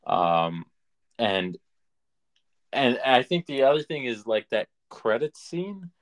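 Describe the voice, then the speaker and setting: A man co-hosting a podcast, chatting casually about movies in a measured, halting, conversational way. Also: medium pitch, big pitch swings. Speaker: podcast co-host.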